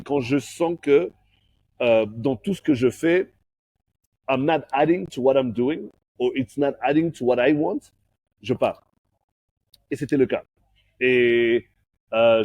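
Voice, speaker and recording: Un homme d'une quarantaine d'années, né en Belgique et élevé au Congo, invité d'une interview, qui mêle le français et l'anglais en parlant. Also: male voice, mid-range voice, darkish tone, no echo. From born in Belgium, raised in Congo. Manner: switches between French and English mid-sentence. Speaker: guest, master of ceremonies. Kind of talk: casual interview chat.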